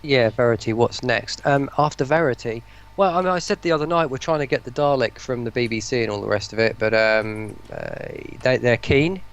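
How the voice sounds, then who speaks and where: Gravelly-voiced man chatting casually, rambling. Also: mid-range voice, a little fast, highs cut off. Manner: rambling, searching for words, self-interrupting. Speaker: voice pack producer, interview guest. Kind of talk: casual online interview chat.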